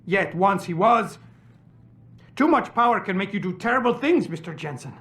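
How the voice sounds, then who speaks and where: An indignant male doctor and activist in a dramatic video game. His voice is notably high for a man. He speaks with formal, articulate, pointed earnestness. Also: lively intonation, moderate pace, a bit bright. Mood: grave.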